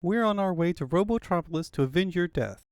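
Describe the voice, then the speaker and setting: Man voicing a high-pitched earnest little fox boy. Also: expressive, brisk, darkish tone, clear, resonant tone, no echo, studio quality. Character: young male fox sidekick, earnest child.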